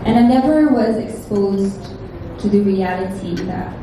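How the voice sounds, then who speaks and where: A woman's voice: mid-range voice, a little slow. Woman speaking earnestly in an echoey hall. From France. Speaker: pageant titleholder. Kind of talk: answering at a press conference. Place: large echoey room at a press conference. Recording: echoey hall, distant mic, clear noise.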